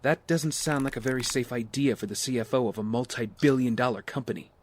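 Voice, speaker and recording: A man's voice: medium pitch, brisk, background noise, natural voice. A man acting in an audio drama as an earnest, skeptical older brother who leads a team. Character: serious, cautious big brother leading a team. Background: music.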